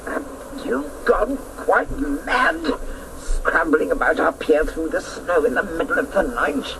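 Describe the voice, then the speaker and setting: An actor playing a stern, sorrowful guardian of a mountain crag, with slow, dramatic delivery in a radio play. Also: male voice, a little high, expressive, creaky. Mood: scolding, probing. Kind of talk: theatrical radio play acting. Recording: noisy old radio play recording.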